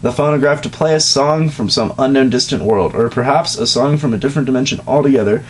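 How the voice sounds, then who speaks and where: A man's voice: mid-range voice, quick pace, darkish tone. Man reading aloud on a noisy, humming recording. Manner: self-interrupting, giggly, crude. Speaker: reader of a comedic fan fiction reading. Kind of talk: dramatic reading of fan fiction with casual joking asides. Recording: noisy home recording with hum.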